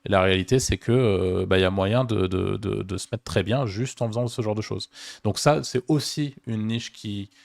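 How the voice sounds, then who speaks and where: A man's voice: lowish voice, moderate pace, gravelly, no echo, clean studio sound. A man with a French accent co-hosting a podcast, talking casually with many hesitations and restarts. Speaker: podcast co-host.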